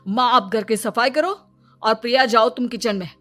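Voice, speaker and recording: An exasperated young husband in a cartoon moral story, voiced by a woman. He sounds plaintive and long-suffering in a high voice, with faint music behind. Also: moderate pace, a bit bright, natural voice. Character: exasperated young husband caught between mother and wife.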